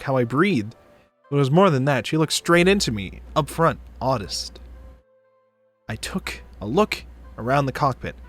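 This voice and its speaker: A man reading a visual novel aloud as a streamer, with expressive narration. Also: mid-range voice. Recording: dry close mic, background music.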